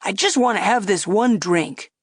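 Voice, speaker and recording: A woman's voice: mid-range voice, normal speed, husky, dry recording, studio quality, lightly acted. A woman voicing a stubborn man who insists on having one more drink.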